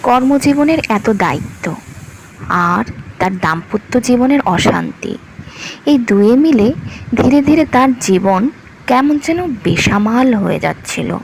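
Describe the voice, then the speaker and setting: A woman's voice: on the high side, expressive, a little slow, gravelly, a little hiss or hum, low-quality recording. A woman reading a scripted motivational story as its narrator. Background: music.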